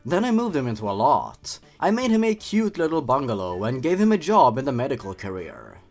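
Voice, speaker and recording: A man's voice: medium pitch, wildly swooping pitch, fairly quick, darkish tone. A young man narrating and commenting on an online horror story, expressively and with playful, wry asides. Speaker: YouTube host and narrator. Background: background music.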